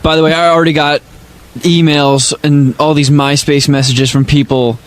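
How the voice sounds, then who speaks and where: A man's voice: fairly high pitch, flat delivery, normal speed. A man on a radio show staff, talking in a casual, joking way.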